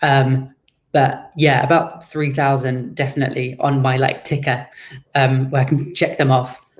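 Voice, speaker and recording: A man giving conversational interview answers as a guest author, explaining things in a measured way. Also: mid-range voice, little pitch movement.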